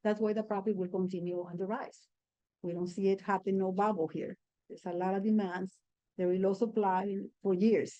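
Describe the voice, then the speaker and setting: A woman real estate agent on a panel, talking in a lively, animated way and laughing through her stories. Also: mid-range voice, brisk, highs cut off. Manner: lively and animated, laughs while telling anecdotes. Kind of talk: panel discussion.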